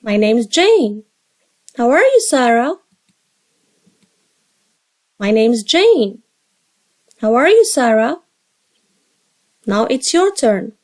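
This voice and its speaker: A woman English teacher reading short dialogue lines slowly and carefully for learners to repeat after her. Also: high-pitched, sing-song, darkish tone. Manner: slow, carefully spaced drill reading.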